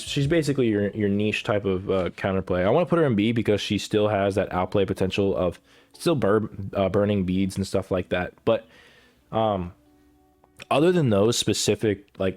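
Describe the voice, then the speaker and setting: A man's voice: fairly low pitch, darkish tone. A man giving casual, rambling commentary as a gaming creator, swearing freely and full of fillers and restarts, with a cough as he comes down with a cold. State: getting sick, coughing. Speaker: gaming content creator ranking characters.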